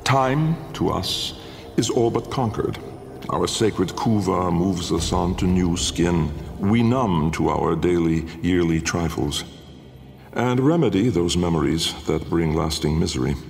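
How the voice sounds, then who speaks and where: An actor playing an old, haunted, learned nobleman-scientist in a video game, delivering a grave, measured gothic monologue with a gravelly voice. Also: deep voice, lively intonation, heavily acted. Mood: grave, regretful. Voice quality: gravelly, creaky. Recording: long cavernous reverb under music.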